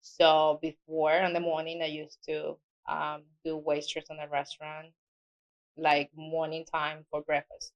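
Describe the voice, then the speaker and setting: Low-voiced woman with a Spanish accent. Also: unhurried, dull audio. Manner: animated storytelling, non-native grammar slips. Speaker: guest, mortgage loan officer. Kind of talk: casual interview conversation.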